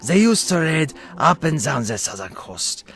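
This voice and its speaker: A man playing a sly, smooth-talking vampire hunter in an audio drama, speaking in a formal, mock-polite, theatrical way. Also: a little high, sing-song, normal speed, fairly bright tone, faint music behind. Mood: grave.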